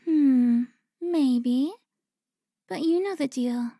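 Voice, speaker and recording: A woman's voice: high-pitched, warm tone, no room sound, clean studio sound, heavily acted. A woman playing a teasing, pouty, centuries-old vampire who looks twenty, in a cinematic audio roleplay. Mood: coy, needling. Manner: playful, pouty, drawn-out teasing.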